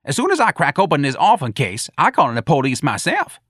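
Streetwise male janitor, high swooping voice, sly, fed up, slangy, whooping, audiobook character. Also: huge pitch swings, brisk, fairly bright tone, slightly rough, no echo, studio quality, heavily acted. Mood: fed up, defiant.

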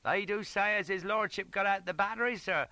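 A proud, chirpy wounded English trooper pipes up in a gossipy way. The working-class voice is high and bright, in a very dry audiobook. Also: male voice, brisk, dry recording. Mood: proud, eager. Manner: piping, gossipy.

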